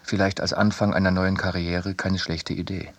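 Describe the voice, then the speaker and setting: Male radio narrator, very low and husky, scripted literary text, dry sound. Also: flat delivery, normal speed, no room sound. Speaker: second reader of a literary essay.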